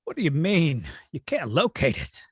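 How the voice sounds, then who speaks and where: A man playing an imperious, cold alien supervillain in a script read, husky and pompous, with drawn-out, menacing delivery. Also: fairly high pitch, huge pitch swings, no echo, studio quality, full character voice. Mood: cold, demanding. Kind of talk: amateur table read of a film script.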